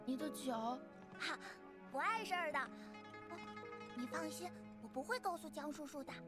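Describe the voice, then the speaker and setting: Earnest high-pitched animated little boy. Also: normal speed. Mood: gentle, sincere. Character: young boy in an animated fantasy drama, earnest and emotional. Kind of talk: Chinese animation voice acting. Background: loud soundtrack music.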